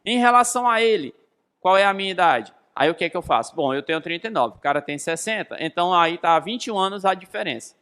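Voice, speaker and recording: High-voiced Brazilian man teaching. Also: animated, quick pace, a bit bright, smooth. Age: 30s. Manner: didactic, emphatic, repeats key phrases and questions his listeners. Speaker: physics teacher. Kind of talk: explanatory lesson with rhetorical questions.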